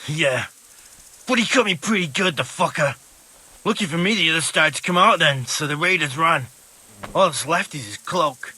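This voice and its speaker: An actor playing a rough, defiant male villager in a video game, with a husky voice and blunt, coarse delivery. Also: on the high side, lively intonation, moderate pace, bright, forceful tone, full character voice. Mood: angry, bitter. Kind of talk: video game dialogue acting.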